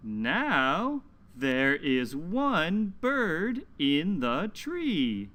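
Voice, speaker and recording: A man hosting a children's read-along, reading aloud and teaching in deliberate, simple phrases for young learners. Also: on the high side, huge pitch swings, a bit bright. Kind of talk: slow, scripted children's read-aloud lesson.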